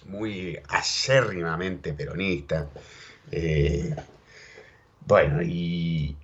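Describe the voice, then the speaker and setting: A man's voice: sits low, extremely slow, husky. A man with an Argentine accent, an interview guest telling stories in a rambling way, repeating words and tagging on little questions. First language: Spanish and English, bilingual. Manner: rambling, repeats words, keeps saying ¿viste?. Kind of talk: casual storytelling chat. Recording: dull lo-fi audio.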